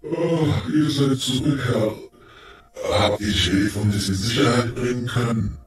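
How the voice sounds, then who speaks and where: A man's voice: medium pitch, unhurried, close to an everyday voice. A man voicing a huge, sleepy, deferential cat creature in an audio drama. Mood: drowsy, respectful. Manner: drowsy, reverent. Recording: very echoey, distant, music behind.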